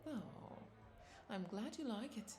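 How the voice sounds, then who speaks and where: Slow, coaxing vampire bartender played by a woman. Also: a little high, lively intonation, crowd noise. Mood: soothing, attentive. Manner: coaxing, affectionate, lingering over words with soft chuckles. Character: flirty, doting female vampire bartender, soothing and possessive. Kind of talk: roleplay audio drama addressed to the listener.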